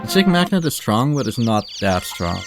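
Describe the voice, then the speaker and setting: Creaky-voiced man commentating on a game. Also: mid-range voice, big pitch swings. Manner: halting, repeats phrases. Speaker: speedrunner. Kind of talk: casual live game commentary. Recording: game music behind the voice.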